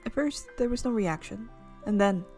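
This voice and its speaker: Expressive woman reading a game aloud. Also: medium pitch, normal speed, faint music behind. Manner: expressive reading with playful reactions. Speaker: game streamer. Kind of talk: reading a visual novel aloud.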